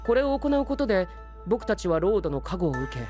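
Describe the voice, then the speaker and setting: A light-voiced young anime gentleman knight. He is refined and earnest, speaking in courtly, formal phrases sprinkled with English, with faint music behind. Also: high voice, very animated, fast talker. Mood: disbelieving, explanatory. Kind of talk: anime voice drama acting.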